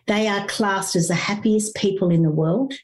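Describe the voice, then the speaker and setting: Woman, middle-aged, grief coach, earnest, teacherly, restarts sentences, average pitch. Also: normal speed, darkish tone, poor audio. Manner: earnest, flowing, teacherly, with frequent fillers and restarts. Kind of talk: conversational interview.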